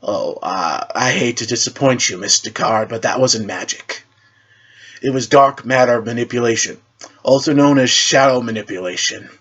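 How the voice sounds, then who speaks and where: A mysterious masked shadow hero, acted out by a man reading a superhero story. He speaks politely and a little hesitantly, with dry understatement, at a medium male pitch. The sound is dull and lo-fi. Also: normal speed, a bit bright, husky. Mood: awkward, dry. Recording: dull lo-fi recording.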